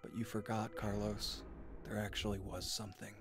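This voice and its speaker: An actor playing a guarded, cynical young man in a video game, speaking earnestly and deliberately. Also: fairly low pitch, level tone, natural voice. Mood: cold, guarded. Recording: loud game music over dialogue.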